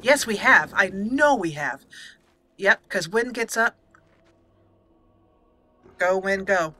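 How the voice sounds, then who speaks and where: Bright-voiced woman doing chatty game commentary. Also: medium pitch, animated, takes it slowly, a little hiss or hum. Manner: playful, chatty, talks to herself and the game. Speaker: gaming video host. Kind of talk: casual let's play commentary.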